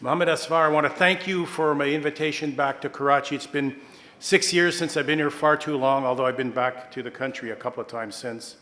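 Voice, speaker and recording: A man's voice: a little high, pushed and bright. Man moderating a panel in a reverberant hall. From Kenya. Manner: measured, with frequent "uh" fillers and self-corrections. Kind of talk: formal conference moderation. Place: large conference hall.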